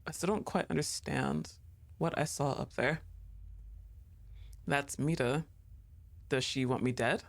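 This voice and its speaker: Low-voiced woman streamer, teasing. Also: unhurried, vocal fry, long pauses. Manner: chatty and teasing, laughs often, talks to the game. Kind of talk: casual gaming commentary.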